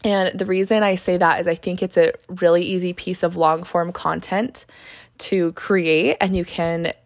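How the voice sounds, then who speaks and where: Young woman solo podcaster, a bit hoarse, lower register, casual and upbeat, dry booth sound. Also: fairly even intonation, unhurried, dry recording. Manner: chatty and upbeat, with fillers and self-corrections. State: hoarse, recovering from laryngitis. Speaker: podcast host, marketer.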